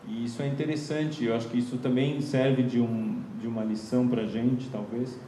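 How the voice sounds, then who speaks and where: Soft-spoken man in an echoey room. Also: mid-range voice, steady tone, normal speed. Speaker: seminar guest. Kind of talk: lecture talk. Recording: echoey room with faint noise.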